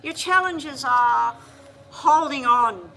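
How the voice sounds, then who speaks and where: A woman doing a live-streamed tarot reading, talking straight to viewers in a chatty, hurried way. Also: high voice, pushed and bright, rough recording. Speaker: tarot reader.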